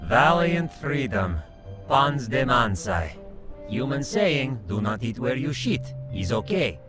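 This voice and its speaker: Man doing a cynical quarian immigrant, grumbling rants, lively swinging pitch, music underneath. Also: slow pace. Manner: grumbling, ranting, broken grammar.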